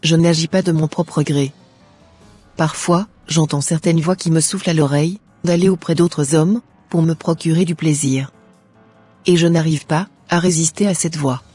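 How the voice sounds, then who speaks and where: A woman playing a guilt-ridden young wife in an animated drama, speaking in an earnest, formal way. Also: sits low, normal speed, slightly rough, soft background music. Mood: confessing, ashamed.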